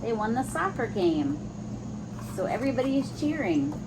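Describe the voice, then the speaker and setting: Higher-pitched woman reading to children, noisy recording. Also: big pitch swings, normal speed. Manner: explains pictures in simple words for young children. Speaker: host reading children's picture books aloud. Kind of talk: children's read-aloud with simple asides.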